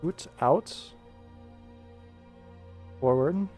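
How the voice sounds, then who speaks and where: A man's voice: a little high, very slow, a little mellow. A young adult man chatting casually on a livestream while he works, rambling along in a jokey, self-deprecating way. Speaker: animator streaming his work. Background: background music.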